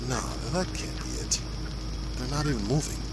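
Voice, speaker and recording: An actor playing a plainspoken, easily awed police officer in an audio drama. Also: male voice, mid-range voice, lively intonation, normal speed, music in the background, lightly acted. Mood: awed, musing.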